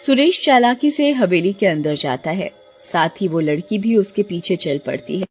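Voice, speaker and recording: A woman tells a cartoon story as its narrator. Her voice is a little low in pitch. The delivery is scripted storybook narration, with faint music underneath. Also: lively intonation, moderate pace, slightly rough.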